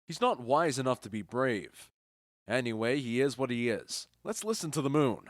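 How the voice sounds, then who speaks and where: An actor playing a witty, indulgent duke who comments wryly in a comic audio drama. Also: male voice, medium pitch, lively intonation, moderate pace, no echo, studio quality, lightly acted. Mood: wry, amused. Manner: wry, amused commentary. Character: witty, indulgent duke watching an amateur play. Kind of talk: modernized Shakespeare audio drama comedy.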